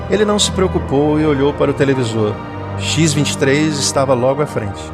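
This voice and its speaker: A man's voice: a little high, brisk, over music. A man reading scripted story narration for an audio drama.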